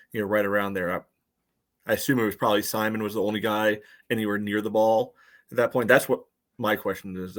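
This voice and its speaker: An American man with a fairly low voice analyzes a match as a podcast co-host. The delivery is conversational and casual, like talk between sports fans. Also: fairly bright tone.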